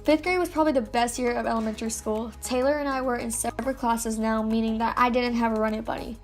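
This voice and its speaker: A woman's voice: fairly high pitch, fairly even intonation, fast. Chatty teen girl telling her life story. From Louisiana, USA. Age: teens. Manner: chatty, uses "like" often. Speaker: YouTuber. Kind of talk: scripted life-story narration. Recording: music playing underneath.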